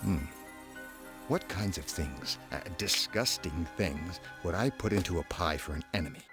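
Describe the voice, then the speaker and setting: A man's voice: deep voice, normal speed, over music, close to an everyday voice. A man reading as a young boy storybook narrator who is earnest, suspicious and puzzled, musing with dramatic hesitations.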